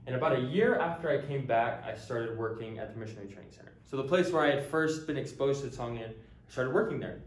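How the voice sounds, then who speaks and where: A man's voice: medium pitch, fast talker, few pauses. Earnest young man in an echoey room. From United States. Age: early 20s. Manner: earnest, frequent ums and restarts. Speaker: language teacher. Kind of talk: casual talking-head introduction.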